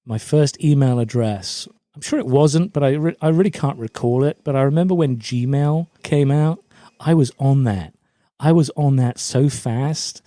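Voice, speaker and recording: A man's voice: mid-range voice, normal speed, dark tone, dry recording. A middle-aged man from Germany, raised in a British forces family, giving chatty, anecdotal answers as an interview guest. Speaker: podcast guest, LinkedIn brand coach.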